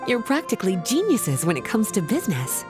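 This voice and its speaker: Languid game sorceress, affectionate, honeyed, swooping intonation, music behind. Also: female voice, medium pitch, huge pitch swings, brisk. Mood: affectionate, teasing. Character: teasing, languid grown-up sorceress, affectionate and elegant. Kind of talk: English video game voice acting.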